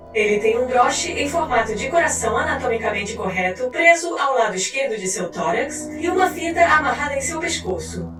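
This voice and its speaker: A high-pitched female narrator with a Brazilian accent delivers a formal, clinical document reading. Her voice carries a clear room echo and sits a little way from the mic, over music. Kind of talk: scripted narration of a fictional scientific file. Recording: roomy echo, distant mic, faint music.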